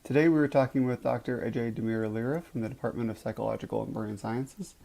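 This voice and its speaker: A man's voice: medium pitch, fast, gravelly, mellow. A man hosting an interview show who often repeats words as he asks his questions. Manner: stumbling, repeats words while asking. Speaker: podcast host. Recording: dull lo-fi sound.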